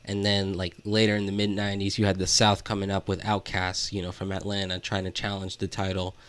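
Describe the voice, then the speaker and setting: Low-voiced man in rambling casual talk. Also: monotone, fairly quick, a bit bright, clean tone. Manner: rambling, hesitant, heavy use of "like". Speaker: podcast co-host. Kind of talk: casual music discussion.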